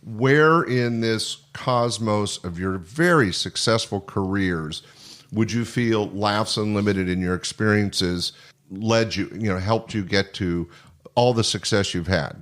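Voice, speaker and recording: An older man with a low-pitched voice hosts a conversational podcast interview. He talks earnestly and tends to ramble as he works his way toward a question. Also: slow, a bit bright. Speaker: podcast host.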